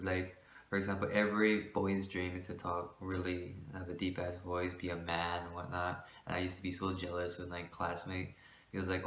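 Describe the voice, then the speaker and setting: Shy young man with a light voice. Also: fairly bright tone. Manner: shy, rambling, full of fillers and self-corrections; shifts between a light voice and a forced deeper one. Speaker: vlogger. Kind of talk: casual talk to camera about his voice. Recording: echoey room.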